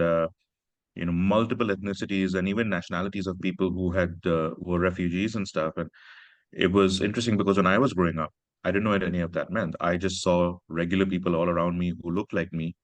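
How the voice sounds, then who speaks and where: A man's voice: low voice, fairly even intonation, no room sound. A man from Pakistan, an author speaking earnestly in a reflective conversation. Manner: earnest, measured, personal storytelling.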